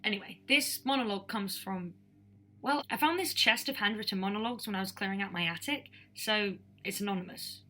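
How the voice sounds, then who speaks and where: Eager student auditionee woman acting, young, chatty, casual, bright and forceful, mid pitch. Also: a little fast. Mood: eager, self-assured. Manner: gushing, rambling, casual. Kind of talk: mock audition tape.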